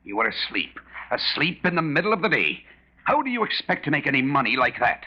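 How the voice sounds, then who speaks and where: A stern, hard-nosed moneylender in a 1940s radio drama. His scolding is sarcastic and emphatic, with big swings in pitch, caught on a dull vintage recording. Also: big pitch swings, fairly quick. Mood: scolding, scornful.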